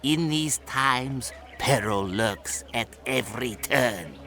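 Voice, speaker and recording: A man voicing an anxious, scholarly older priest in a video game, with a theatrical, halting delivery. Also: medium pitch, animated. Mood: pondering, cautious. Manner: theatrical, halting, muttering then exclaiming. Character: anxious, scholarly male priest-mentor of an ancient order.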